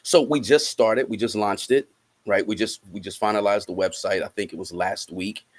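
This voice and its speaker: A man with a lowish voice speaks as an interview guest. He often repeats a word before carrying on, and he drops in "right?" at the ends of phrases. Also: steady tone, normal speed. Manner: repeats words and says "right?" as a tag. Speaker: guest musician promoting a film project. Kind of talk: interview answers.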